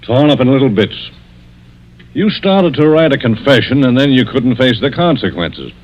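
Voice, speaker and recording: An actor playing a stern, fatherly police inspector, firm and deliberate, in 1940s radio mystery style. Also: mid-range voice, sing-song, slight background noise, close to an everyday voice. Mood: stern, accusing. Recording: dull, lo-fi vintage radio recording.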